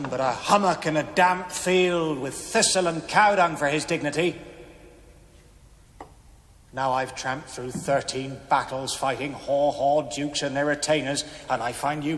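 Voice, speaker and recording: An actor playing a righteous, resentful soldier of poor farm origins, speaking in a deliberate, declamatory, preacherly way in a stage-play style. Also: male voice, medium pitch, fairly bright tone, natural voice. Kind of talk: theatrical television stage-play acting. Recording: reverberant hall, noisy old TV tape.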